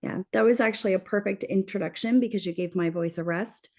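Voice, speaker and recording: Woman, webinar co-host, losing her voice, mid-range pitch, friendly, lightly scripted. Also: quick pace, darkish tone. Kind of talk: corporate webinar.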